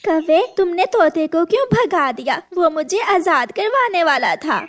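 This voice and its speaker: A woman plays a tiny mother sparrow in a kids' cartoon. Her voice is very high and swoops expressively, with a sweet, pleading, coaxing way of talking. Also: sing-song, unhurried, heavily acted. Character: small pleading but clever mother sparrow. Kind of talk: children's cartoon character voice.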